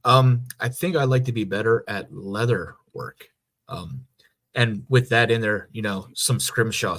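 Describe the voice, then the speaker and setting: A man's voice: fairly low pitch, a bit bright. An American man co-hosting a podcast, talking in a casual, conversational way. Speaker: podcast co-host.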